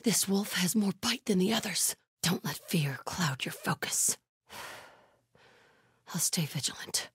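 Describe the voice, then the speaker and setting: An actress playing a devout, stern, vigilant cult scout in a video game, speaking in a hushed, breathy, solemn way. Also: female voice, fairly low pitch, expressive, slow, dry recording, clean studio sound, heavily acted. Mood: watchful. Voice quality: breathy, hushed, often whispery. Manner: solemn, guarded.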